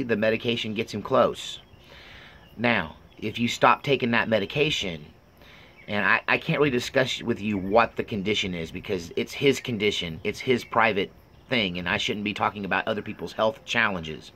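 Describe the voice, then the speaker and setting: A middle-aged man with a fairly low voice that has a sharp, forceful edge. He talks off the cuff in a halting, earnest way, as if confiding in his viewers, over faint background noise. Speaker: vlogger. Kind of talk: personal update monologue, unscripted talk.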